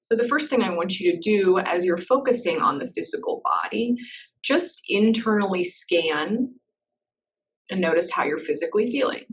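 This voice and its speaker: A woman leading a guided meditation in a soft, slow, reassuring way, with gentle pauses between phrases. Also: medium pitch, animated, distant mic, poor audio.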